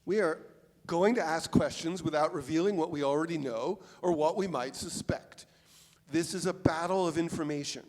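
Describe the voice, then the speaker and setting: A man in a live play reading, acting a practical sleuth who coaches a partner in a casual, measured way. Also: fairly high pitch, a little mellow, natural voice. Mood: wry, insistent. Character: practical, coaxing amateur sleuth named Chef. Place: theatre stage. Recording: reverberant theatre hall recording.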